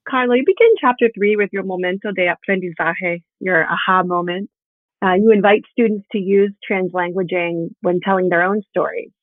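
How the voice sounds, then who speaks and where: Through a narrow, phone-like connection comes a woman interviewer with a mid-pitched voice. She frames questions and comments in a measured way, and the sound is dry. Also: no echo. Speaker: interviewer, host. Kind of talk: measured discussion with prepared questions. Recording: thin phone-like remote call audio.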